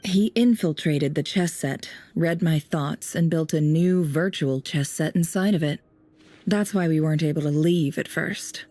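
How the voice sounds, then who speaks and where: An actress voicing a cool, cerebral woman investigator in a video game, composed, deliberate and formal as she tells things. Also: sits low, warm tone. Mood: dry, composed. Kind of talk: scripted game voice acting, measured storytelling.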